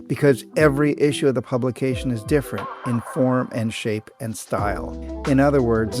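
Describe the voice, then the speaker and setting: A man hosting a podcast, reading a measured, articulate intro and asking interview questions. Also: medium pitch. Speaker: podcast host. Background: music.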